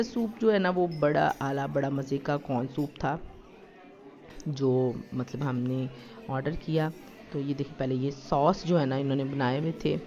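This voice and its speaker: A woman narrating a family shopping and dining vlog in a chatty, homely way, with a slightly sore throat and frequent fillers. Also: low voice. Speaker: family lifestyle vlogger. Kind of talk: casual vlog voice-over narration. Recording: slightly dull lo-fi recording.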